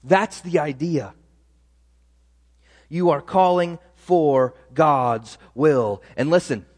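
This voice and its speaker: A man's voice: a little high, long reverb tail. A man preaching a sermon as pastor, talking in a conversational way with frequent restarts and asides.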